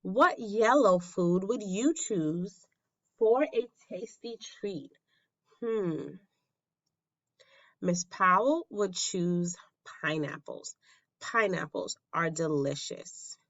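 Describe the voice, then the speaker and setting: A woman reading a children's picture book aloud as a teacher, with simple, deliberate phrasing and questions for young listeners. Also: medium pitch, lively intonation, fairly bright tone, lo-fi sound.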